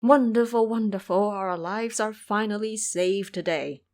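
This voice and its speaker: A woman's voice: mid-range voice, a little slow, a little mellow, close to an everyday voice. A woman voicing humble, grateful male monks with deferential courtesy in an audio drama. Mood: joyful relief.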